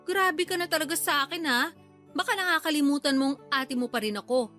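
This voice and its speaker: A woman's voice: sits high, fairly quick, bright, forceful tone, soft background music. A woman playing a hard-up, complaining middle-aged older sister and mother in a Filipino radio drama. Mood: offended, defensive. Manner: complaining, defensive, blunt. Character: aggrieved, hard-up older sister, struggling mother of many children.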